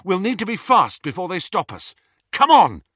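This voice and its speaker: A man's voice: high-pitched, huge pitch swings, moderate pace, fairly bright tone, husky, no room sound, studio quality, voice pushed up, exaggerated voice. A man voicing a young wizard posing as a powerful official, blustering with authority. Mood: commanding.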